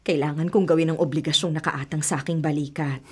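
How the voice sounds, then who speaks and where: A woman's voice: low-pitched, fairly even intonation, fast talker, husky. A woman acting as a hardworking, self-sacrificing middle-aged widowed mother in a Filipino radio drama, earnest and long-suffering. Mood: resolute, weary.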